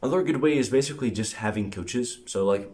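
A man's voice: mid-range voice, fast talker, darkish tone. A young man giving casual, emphatic fitness advice to camera in an informal vlog style. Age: young adult. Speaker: fitness vlogger. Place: living room, seated on a couch indoors.

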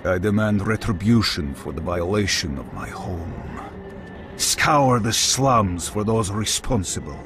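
Deep, grandiose vengeful warlord. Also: male voice, lively intonation, a little slow, over music. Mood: vengeful, commanding. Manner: grandiose, theatrical, formal. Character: proud, vengeful, menacing undead warlord man. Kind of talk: video game villain monologue.

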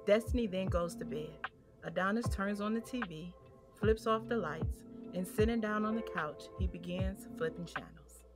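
A woman's voice: mid-range voice, moderate pace. An American woman giving scripted, present-tense narration for an audio drama. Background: music.

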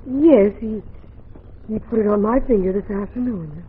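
A woman's voice: mid-range voice, normal speed, slight background noise, close to an everyday voice. An actress playing a sweet, naive, wistful young frontier woman, hesitant and earnest, in 1940s radio drama style. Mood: dreamy, puzzled. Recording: muffled 1940s radio recording.

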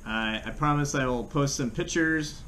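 A middle-aged American man giving a casual how-to demonstration in a plain-spoken, halting way, recorded indoors by a camera across a table. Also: medium pitch, fairly bright tone. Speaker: fishing channel host. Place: small room at home, indoors. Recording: clear background room noise.

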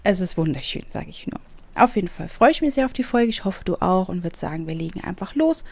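A woman's voice: lowish voice, animated, quick pace, darkish tone. A woman hosting a let's play with casual commentary, her voice a little stuffy from a cold. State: slightly congested from a cold. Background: background game music.

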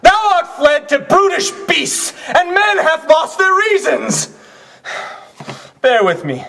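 A husky, impassioned Roman orator. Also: male voice, mid-range voice, lively intonation, takes it slowly. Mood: grief-stricken outcry. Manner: declamatory, swinging from whispers to shouts. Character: impassioned Roman statesman orating grief and war. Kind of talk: theatrical Shakespearean stage monologue.

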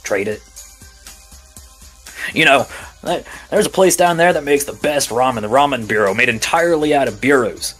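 A man plays an easygoing male scavenger in a tabletop game. His voice is bright and mid-pitched, casual and folksy, with faint music underneath. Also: lively intonation, normal speed, long pauses, slightly rough. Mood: easygoing, chatty. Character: easygoing scrappy junk-scavenging male local with robot dog.

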